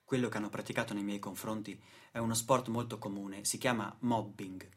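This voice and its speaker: A man's voice: fairly low pitch, steady tone, brisk. A man performing a scripted theatrical monologue for radio, in a rhetorical, declamatory manner.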